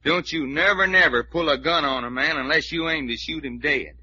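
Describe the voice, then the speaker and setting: A man's voice: fairly high pitch, a little fast, sharp tone, slightly rough, heavily acted. An actor with an American Southern accent playing a folksy, fearless cowboy-like tough guy, blunt and taunting, in old radio drama style. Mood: folksy lecturing. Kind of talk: 1940s radio acting. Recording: dull old radio recording.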